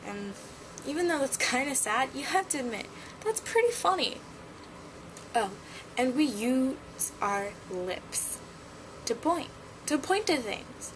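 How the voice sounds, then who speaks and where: Young woman, casual vlogger, giggly and chatty, slightly raised pitch, audible background noise. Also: big pitch swings, takes it slowly, long pauses. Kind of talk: casual vlog chat.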